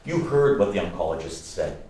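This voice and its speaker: An actor playing an earnest, joking young husband and nephew in a radio play, with an expressive bantering manner. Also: male voice, deep voice, a little fast. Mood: defiant, hopeful. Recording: echoey room, distant microphone.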